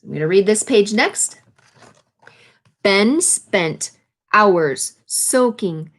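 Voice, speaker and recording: A woman with a mid-range voice reads to young children in the manner of a teacher. She gives the story text a deliberate, expressive delivery and adds plain, simple explanations along the way. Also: mid-range voice. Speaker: teacher reading a picture book aloud. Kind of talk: children's read-aloud with explanatory asides.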